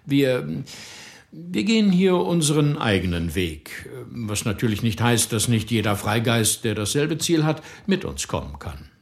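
An actor playing a self-assured village leader in a radio play, speaking in a lofty, preaching manner that drops to a hush. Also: male voice, mid-range voice, sing-song, a little slow. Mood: persuasive. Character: smooth-talking village leader, older man, self-assured.

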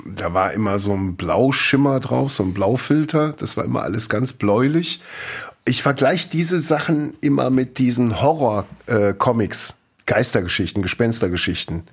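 A man's voice: medium pitch, normal speed, breathy edge. A man co-hosting a podcast in casual chat, with a dry, teasing way of talking. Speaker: podcast co-host.

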